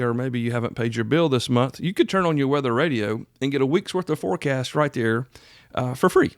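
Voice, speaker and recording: A man's voice: mid-range voice, no room sound. Middle-aged man, dry close mic. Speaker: podcast host. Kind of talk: casual explanatory monologue.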